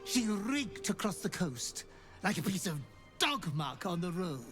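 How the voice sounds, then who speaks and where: A man's voice: high-pitched, sing-song, unhurried. A man playing a sinister, devoted little fiend butler in a video game, obsequious, florid and theatrical. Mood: gleefully servile. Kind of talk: video game character acting. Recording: game audio with music underneath.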